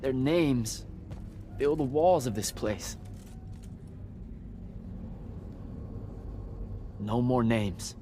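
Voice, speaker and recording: A man playing an unassuming young battlemage hero in a fantasy video game, casual, halting and sincere. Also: mid-range voice, warm tone, soft background music, close to an everyday voice. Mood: solemn, sincere.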